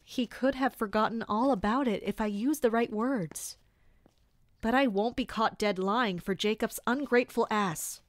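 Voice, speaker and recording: A woman's voice: a little high, normal speed, no room sound, lightly acted. A woman playing a principled royal guard captain in a fantasy audio drama, formal and dutiful. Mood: resolute, uneasy.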